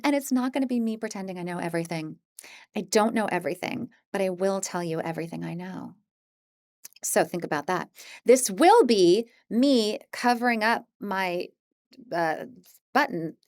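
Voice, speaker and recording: Female course presenter, medium pitch, informal and encouraging, talks like a mentor, very dry recording. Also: dry recording. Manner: chatty, encouraging, informal and self-deprecating. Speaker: audiobook narrator teaching an introductory course. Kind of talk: casual, conversational course presentation.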